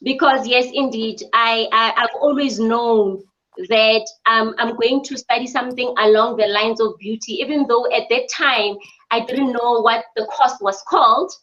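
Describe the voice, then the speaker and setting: High-voiced woman, earnest and measured. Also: fairly even intonation, a bit bright. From South Africa. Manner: measured, earnest, with frequent ums. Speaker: spa business owner. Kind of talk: interview answers. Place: her mother's home. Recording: dull lo-fi audio.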